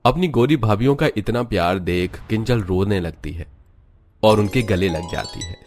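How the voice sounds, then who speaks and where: A man's voice: on the low side, lively intonation, moderate pace, darkish tone, soft background music. A man narrating a scripted cartoon family story.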